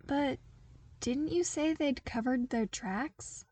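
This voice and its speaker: A woman's voice: high voice, slow pace, mellow, husky, no room sound, pitched above the performer's own voice, exaggerated voice. A woman voicing an earnest, bewildered young male engineer who asks questions hesitantly in an audiobook drama. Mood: hesitant curiosity.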